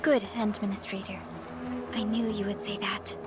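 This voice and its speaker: A woman voicing a composed, caring young field supervisor in a video game, measured and formal, with music playing. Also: mid-range voice, level tone, natural voice. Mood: calm, businesslike.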